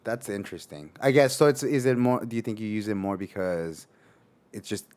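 A man's voice: on the low side, animated, a little mellow. A male podcast host in a loose, casual interview. He feels his way into each question, stopping and starting again, and he laughs readily. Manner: halting, restarts questions, quick to laugh.

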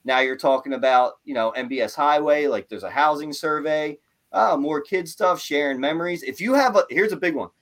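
A male podcast host with a fairly high voice chats casually with his guest. He talks in an animated, rambling way and keeps checking in with "right?". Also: fairly quick. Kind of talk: casual interview chat.

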